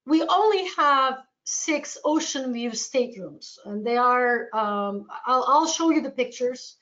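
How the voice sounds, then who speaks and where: A woman with a Turkish accent speaks in a fairly high voice as she presents travel products in an informal webinar. Her delivery is enthusiastic, and the audio is dull and lo-fi. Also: fairly bright tone. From Turkey.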